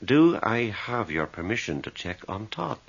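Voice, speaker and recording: An actor playing an anxious, principled priest in a radio drama, speaking formally and with stern insistence. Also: male voice, fairly low pitch, very animated, a little slow, creaky, full character voice. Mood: worried, insistent. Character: anxious, principled priest confronting a stubborn woman. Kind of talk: 1980s radio drama acting. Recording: slightly dull 1980s radio recording.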